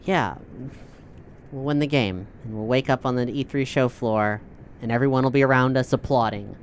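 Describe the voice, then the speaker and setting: A man's voice: mid-range voice, moderate pace. A man takes part as a player in a tabletop game with casual improvised talk. His voice is mid-range and conversational, with slight noise in the background. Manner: informal, conversational.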